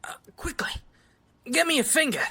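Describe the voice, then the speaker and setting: A woman playing an excitable, absorbed scientist doctor in an audio drama, precise and given to muttering. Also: sits high, moderate pace, creaky, a little hiss or hum, full character voice. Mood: eager, puzzled.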